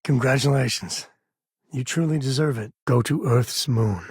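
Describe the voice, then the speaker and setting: Male actor as folksy spacefaring dad, wry, good-hearted, casual, husky voice, dry close sound, video game dialogue. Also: mid-range voice, moderate pace, no room sound, close to an everyday voice. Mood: easygoing, dry.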